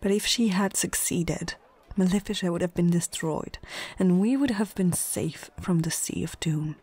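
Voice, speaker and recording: A woman playing a stern, proud female orc chieftain in a whispered ASMR fantasy roleplay, speaking in short, measured phrases with a husky, breathy voice. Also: lowish voice, darkish tone. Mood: grim, threatening.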